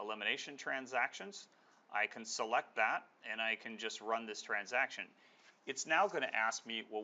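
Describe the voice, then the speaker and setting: A man's voice: fairly low pitch, pushed and bright, muffled recording. A man giving an informal screen-share product walkthrough as a demonstrator, explaining step by step in a conversational way. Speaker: software demonstrator.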